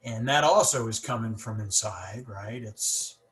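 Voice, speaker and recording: A male host talks in a relaxed, conversational way, and his speech is broken by a stutter, repeated words and fillers. His voice sits on the low side, with a faint hiss of noise behind it. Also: measured pace. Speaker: podcast host. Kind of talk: casual conversation.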